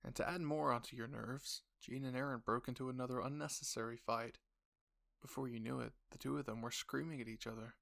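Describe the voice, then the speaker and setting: Guy narrating a fan fiction story, medium pitch, lots of vocal fry. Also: steady tone, a little fast, a bit bright. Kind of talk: scripted second-person story narration.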